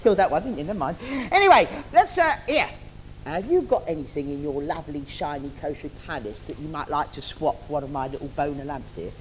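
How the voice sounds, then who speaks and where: A man's voice: a little high, very animated, quick pace. A man playing a scheming, camp old villain in an adult pantomime, theatrical and declamatory, on a theatre stage. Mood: wheedling, bantering. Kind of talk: adult pantomime stage acting with rhyming verse and innuendo. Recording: noisy live theatre stage recording.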